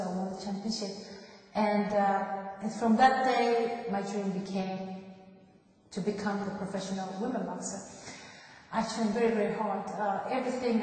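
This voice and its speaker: A woman's voice: mid-range voice, a little mellow, slight background noise. Japanese-accented woman in an echoey hall. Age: 30s. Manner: halting, searching for words in a second language. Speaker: interviewee telling her life story. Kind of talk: personal testimony. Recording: echoey reverberant room, distant mic.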